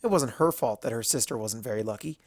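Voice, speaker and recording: A man's voice: mid-range voice, animated, fast talker, no echo. Man narrating a story, dry sound. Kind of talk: scripted fan fiction narration.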